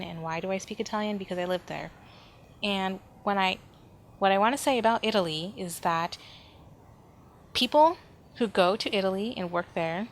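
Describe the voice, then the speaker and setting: A woman hosting a solo podcast, talking casually and informally, rambling as she thinks aloud. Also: lowish voice. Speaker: podcast host. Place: indoors at home, near street noise. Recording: background noise, ambient room sound.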